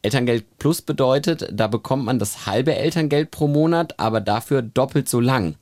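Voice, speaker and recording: Man, podcast co-host, matter-of-fact, lists figures and tips, mid pitch, dry close sound. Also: normal speed, a little mellow, dry recording. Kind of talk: casual podcast chat.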